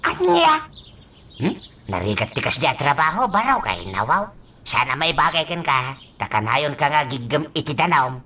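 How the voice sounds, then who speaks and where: A woman's voice: low voice, big pitch swings, moderate pace, pushed and bright, heavily acted. A woman acting a folksy older character in a radio drama, drawling and chuckling, with a repeated catchphrase. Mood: hesitant, fretting.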